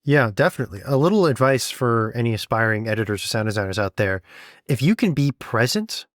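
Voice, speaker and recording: A man with a mid-pitched voice, recorded dry with no room sound. He talks like a podcast host, casually explaining things and offering practical advice in an informal way. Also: lively intonation, a little fast, dry recording. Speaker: podcast host and audio drama sound designer.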